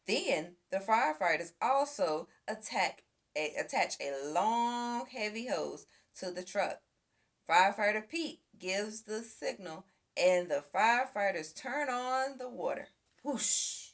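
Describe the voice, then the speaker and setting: Woman reading a children's story, slow and animated. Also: medium pitch. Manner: expressive, acts out sound effects and exclamations. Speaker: storybook reader. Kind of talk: children's read-aloud story narration.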